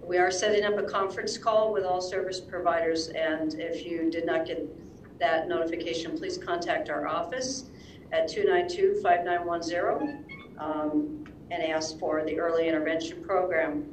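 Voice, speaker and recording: Brief: woman public health director, halting updates, lower voice, echoey room, distant mic. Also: steady tone, slight background noise. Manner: halting, many ums and uhs. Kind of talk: reading public announcements. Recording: echoey room, heard from far off.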